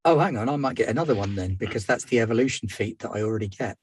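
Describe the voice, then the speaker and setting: A man's voice: on the low side, lively intonation, rapid-fire, a little mellow, breathy edge. A man playing a tabletop game, thinking aloud while he walks through his character. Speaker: player. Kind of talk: casual chat about game rules.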